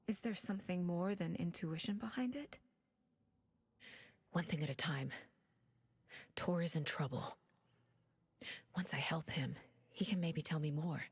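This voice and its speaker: An actress playing a focused, questioning investigator in a video game, reasoning things out in a pensive, often hushed voice. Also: female voice, fairly low pitch, gravelly, slight background noise. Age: adult. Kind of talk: introspective game cutscene monologue.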